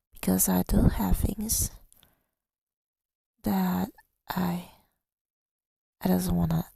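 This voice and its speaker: A woman speaking softly and intimately in a husky, breathy ASMR style, hesitant and affectionate, often slipping into a whisper, close to the microphone. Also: fairly low pitch, dry recording. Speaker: ASMR audio creator. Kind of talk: intimate ASMR girlfriend roleplay, telling about the day. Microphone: close microphone, dry sound.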